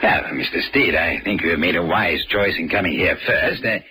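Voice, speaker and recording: An actor playing a courteous, old-fashioned British merchant banker, formal, hesitant and deferential, in vintage radio drama. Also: male voice, deep voice, normal speed, sharp tone. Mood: ingratiating. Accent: British English. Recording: hissy vintage radio recording.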